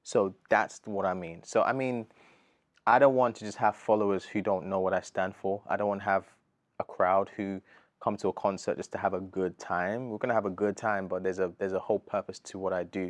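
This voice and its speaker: Gospel artist guest, British man around thirty, low-voiced and animated, dry close sound. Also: a little fast, dry recording. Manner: earnest, animated, slangy, says bro a lot. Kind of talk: casual interview chat.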